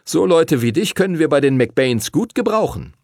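Older storybook schoolboy, read by a man: casual, approving, cocky, lifted pitch, rough edge. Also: very animated, normal speed, a little mellow, dry recording, studio quality.

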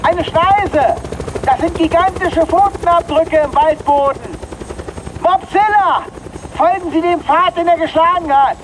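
A woman's voice: very high-pitched, measured pace. An actress playing an eager, confident woman commanding a giant monster in an audio drama. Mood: excited, delighted. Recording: loud noisy action scene, sometimes distant.

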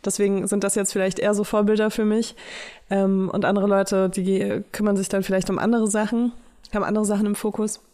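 A woman's voice: medium pitch, level tone, a little fast, mellow. A woman in her late twenties co-hosting a podcast, talking candidly in a rambling casual way with many fillers. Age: late 20s. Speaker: podcast co-host.

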